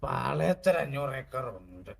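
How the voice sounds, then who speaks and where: A man playing a boastful, stubborn old grandfather in game roleplay, creaky and drawling. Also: mid-range voice, big pitch swings, moderate pace.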